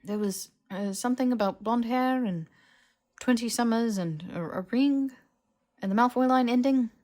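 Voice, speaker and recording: A woman's voice: a little high, normal speed, darkish tone, voice pushed up, close to an everyday voice. A woman plays a young wizard lad in an audiobook story. He blurts things out, protests and stumbles over his words, with a flippant, defensive edge. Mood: hesitant, recalling. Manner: stammering, protesting. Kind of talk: fiction audiobook character voice.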